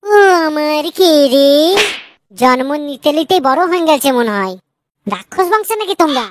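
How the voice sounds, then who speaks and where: A man voicing a cheeky young prankster in a cartoon, high and taunting. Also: very animated, moderate pace, noisy background. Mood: teasing. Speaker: cartoon character.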